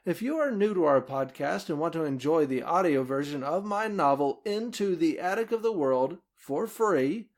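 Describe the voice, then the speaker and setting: A man's voice: fairly high pitch, expressive, normal speed, hardly any pauses. A man talking casually in a podcast interview, rambling a little as he thinks aloud. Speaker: podcast host and author being interviewed. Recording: faint background noise.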